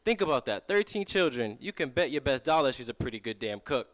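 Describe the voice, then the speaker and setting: A young man giving a live startup pitch, projecting energetically as he tells a family story. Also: sing-song, fairly quick, fairly bright tone. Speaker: student startup founder pitching. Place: venture lab event room.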